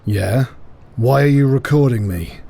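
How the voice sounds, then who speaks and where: An actor playing a weary, suspicious middle-aged harbour master in an audio drama, speaking bluntly. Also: male voice, medium pitch, measured pace, vocal fry, warm tone. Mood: guarded, suspicious.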